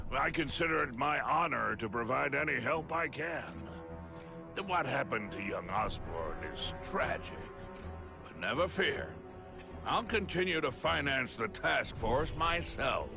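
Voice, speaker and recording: Smug crime boss game villain, slow n swoopy, creaky, pompous, music behind. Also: male voice, medium pitch, expressive. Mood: smug false courtesy. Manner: slow, silky, pompous, theatrical. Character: suave, scheming male crime-lord tycoon. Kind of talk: theatrical video game villain acting.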